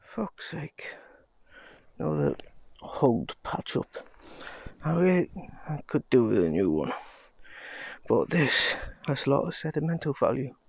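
A man in his thirties mutters through a casual vlog, recorded on a handheld phone outdoors among pine trees. His speech is slow and unhurried, with a gravelly, husky edge. Now and then he swears quietly under his breath. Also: mid-range voice, sing-song, no room sound. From Scotland. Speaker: vlogger. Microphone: handheld phone camera.

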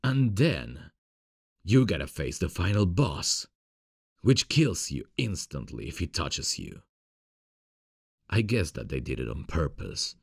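Very deep, gravelly boyfriend played by a man. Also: no echo, clean studio sound, exaggerated voice. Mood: mildly exasperated. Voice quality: gravelly, husky low voice. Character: playful frustrated gamer boyfriend, grown man, cocky but affectionate. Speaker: voice actor. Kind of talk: intimate ASMR boyfriend roleplay.

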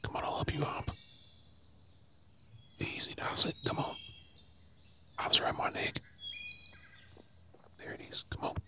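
An Australian man playing a weary, protective survivor of a zombie apocalypse in a whispered ASMR role play, hushed, urgent and coaxing. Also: measured pace, long pauses. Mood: gentle urging.

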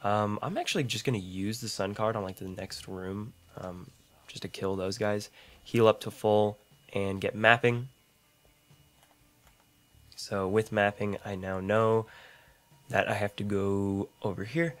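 Brief: young male gaming YouTuber, low deep voice, slightly rough throat, rambling self-deprecating chatter, condenser mic at home. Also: fairly even intonation, unhurried, pauses often. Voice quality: deep voice, mouth clicks. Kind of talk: casual gameplay commentary. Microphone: large condenser studio mic.

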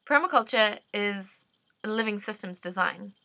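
A young woman with a New Zealand accent talks straight into her laptop webcam from a dim room at home. Her voice is bright and clear, and the sound is dry and close, with no room echo. She explains ideas earnestly and off the cuff, pausing and restarting as she looks for the right words. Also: mid-range voice. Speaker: permaculture designer. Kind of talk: unscripted explanatory monologue. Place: dim indoor room, seated. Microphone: laptop webcam at desk.

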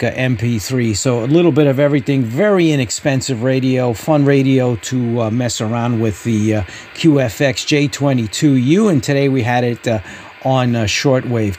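A man hosting a casual radio review, speaking in a halting way. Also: mid-range voice, a little mellow, slight background noise. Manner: halting delivery. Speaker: video channel host.

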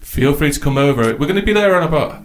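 A man's voice: on the high side, lively intonation, quick pace, slight background noise. A man hosting a comedy talk show on live radio, chatting casually. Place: radio studio, live broadcast. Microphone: studio microphone.

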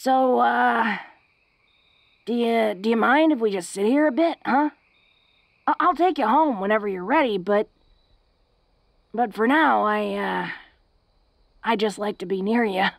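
Woman doing a tough orc girlfriend for an audio roleplay, shy and stammery, gravelly creak, really dry close sound. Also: a little high, a little slow, pauses often, no echo. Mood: tender, shy. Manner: bashful, stammering, informal tough-girl diction. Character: tough, awkward, smitten young orc woman. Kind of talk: intimate romantic audio roleplay acting.

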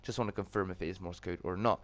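Slightly croaky British man chatting on stream. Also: deep voice, fairly even intonation, fast, no room sound. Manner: chummy greetings to viewers. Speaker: live streamer and host. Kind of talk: casual livestream chat with viewers.

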